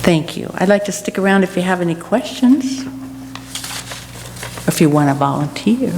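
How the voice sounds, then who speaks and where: Slow, low-voiced woman speaking in an echoey hall. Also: animated, vocal fry. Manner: deliberate, with rhetorical repetition and pauses. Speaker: guest speaker for a food charity. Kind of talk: church reflection, delivered as a speech. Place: large church room. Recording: echoey hall with background noise and hum.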